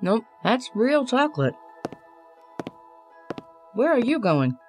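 An actress playing a practical, level-headed social worker in an audio drama, polite and matter-of-fact. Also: female voice, fairly high pitch, big pitch swings, takes it slowly, faint music behind. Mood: puzzled.

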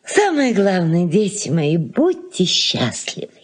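Slow-spoken, fussy elderly aunt. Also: female voice, fairly low pitch, very animated. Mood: tender. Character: elderly aunt, fussy, wistful, sharp with her husband. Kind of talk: Soviet radio play acting, 1981.

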